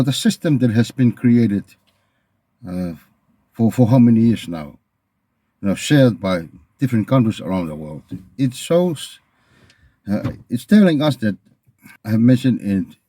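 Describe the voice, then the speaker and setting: Male vlogger, middle-aged, Tongan accent. Dark, relaxed tone, earnest and halting delivery, close dry sound. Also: mid-range voice, dry recording. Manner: earnest, halting, repeats phrases. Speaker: video channel host. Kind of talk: reflective unscripted monologue to camera.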